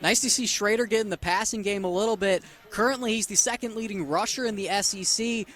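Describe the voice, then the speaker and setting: A man's voice: sits high, quick pace, fairly bright tone. A man giving color commentary on a live football broadcast, analysing the action between plays.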